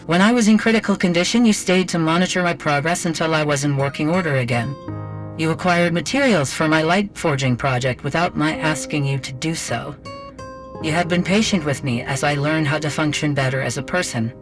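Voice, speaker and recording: A man's voice: a little high, a little fast, over music, pitched above the performer's own voice, close to an everyday voice. Man voicing an earnest female android, blunt and formal. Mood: earnest, reasoning. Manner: blunt, formal, methodical phrasing. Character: earnest, literal-minded female artificial person, straight-faced and logical.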